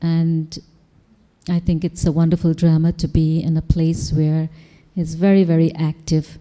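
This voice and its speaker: A woman from Guyana tells her personal story to an audience in a large meditation hall. Her voice sits a little low and sounds very dark and mellow, and she talks in a friendly, conversational way. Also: level tone, a little slow. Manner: friendly and conversational, with small laughs. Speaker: panel speaker. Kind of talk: personal testimony.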